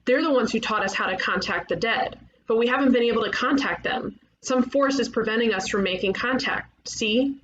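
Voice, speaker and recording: A woman playing a brash, excitable young twin-sister ghost detective in an animated comedy table read, with an emphatic, theatrical delivery. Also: fairly high pitch, normal speed, few pauses, roomy sound, highs cut off, slight background noise, lightly acted. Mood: determined.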